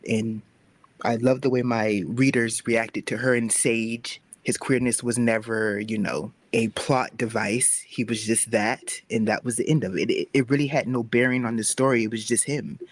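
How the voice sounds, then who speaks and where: A man's voice: medium pitch, dry recording. A man who makes webcomics talks casually and reflectively as a guest in a panel discussion.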